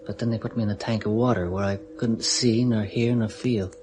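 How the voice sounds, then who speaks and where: A man's voice: lowish voice, steady tone, fairly quick, lightly acted. Detached male astronaut voiced by a man for a 1970s radio play, matter-of-fact delivery, faint music underneath. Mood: quiet. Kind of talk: 1970s radio drama acting.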